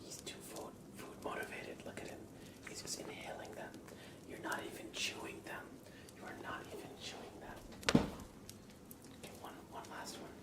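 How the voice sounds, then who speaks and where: A man hosting an ASMR livestream, chatting casually in a soft whisper. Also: normal speed. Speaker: livestream host.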